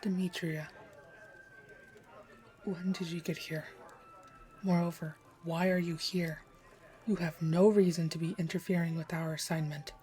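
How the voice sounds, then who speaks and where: A woman's voice: lowish voice, fairly even intonation, measured pace, long pauses, a little mellow, close to an everyday voice. A woman playing a guarded, businesslike female assassin in a fantasy audio drama, skeptical and stern. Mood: suspicious, stern. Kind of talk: amateur fantasy audio roleplay acting.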